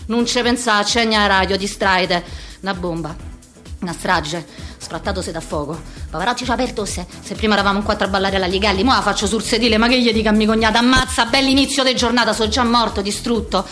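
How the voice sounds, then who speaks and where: A woman's voice: medium pitch, fast talker, fairly bright tone, soft background music, close to an everyday voice. A woman performing a theatrical slang monologue as a harried, foul-mouthed office worker around fifty, with a Roman accent and a coarse, rhythmic rant. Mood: desperate.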